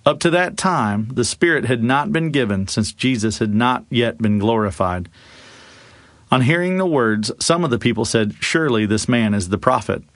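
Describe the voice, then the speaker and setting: Male scripture reader, mid-pitched, expressive narration, close dry sound with no room. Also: moderate pace, no room sound. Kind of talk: Bible reading, narration with dramatized dialogue.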